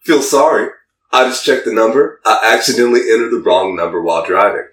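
A man's voice: on the low side, fast, fairly bright tone. A man casually reading text messages aloud for comedy, stumbling a little through broken English in an amused way. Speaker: podcast host. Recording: roomy echo, distant from the mic.

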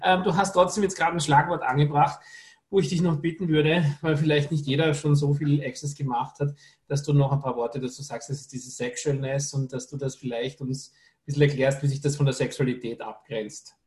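A man's voice: on the high side, fairly even intonation, fairly quick, a little mellow, reverberant. A man hosting a casual interview, asking and explaining carefully with many restarts. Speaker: podcast host.

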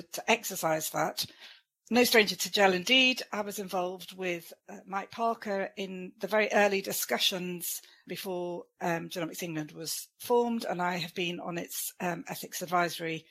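A woman professor as a podcast guest, speaking in a brisk, articulate way with some fillers. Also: lowish voice, steady tone, fairly bright tone. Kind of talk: podcast interview.

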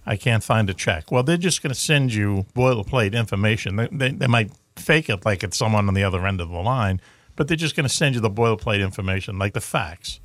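Low-voiced Boston man, chatty talk-show host, rambling, dry close sound. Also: lively intonation, brisk, a bit bright, no echo. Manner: rambling, chatty, many fillers and restarts. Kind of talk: casual talk show chat.

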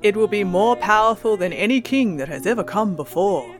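A woman's voice: mid-range voice, lively intonation, fairly quick, music in the background, lightly acted. A woman putting on a solemn prophesying angel.

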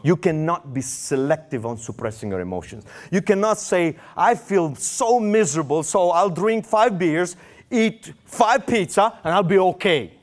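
A man's voice: high-pitched, expressive, normal speed. A man preaching a sermon in English with a Romanian accent, his first language Romanian, acting out quoted prayers with raised voice.

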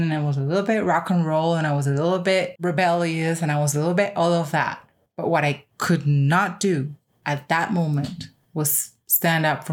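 A woman in her thirties or older giving a personal, reflective podcast monologue with emphatic, repeated phrasing. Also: low voice. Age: 30s or older. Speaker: podcast host.

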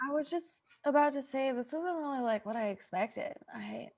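A woman plays an earnest young wife type, new to the asylum. The voice is fairly high, effusive and halting, in an improvised comedy scene. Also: animated, vocal fry, a little mellow, exaggerated voice. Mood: puzzled, insistent. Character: eager, earnest wife newly sent to asylum.

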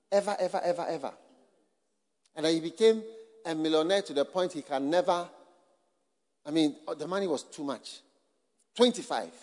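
A man preaching a sermon to a full hall, talking rhetorically with lots of repetition, and building up to shouting. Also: a little high. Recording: long hall reverb.

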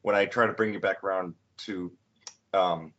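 A low-pitched young man in his late twenties talks with a friend, rambling and restarting his sentences with plenty of fillers. The recording sounds dull and lo-fi. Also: steady tone, normal speed, a bit bright. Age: 20s. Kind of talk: casual chat.

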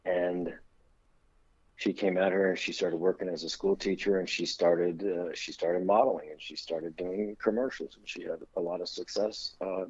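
A middle-aged man speaks in a low, breathy, husky voice. He tells loose, easygoing anecdotes as an interview guest. The recording is dry and a little lo-fi. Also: steady tone, normal speed, a little mellow, dry recording. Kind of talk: casual storytelling interview.

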